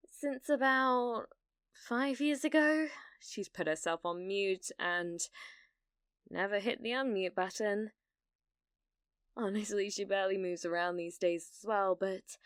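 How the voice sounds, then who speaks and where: A woman plays an obsessive young British gamer in an ASMR roleplay. Her mid-pitched voice is smooth and crisp, recorded very dry. She stammers and hesitates with a nervous chirpiness, sounding needy and delusional while staying blithely casual about dark things. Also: no echo.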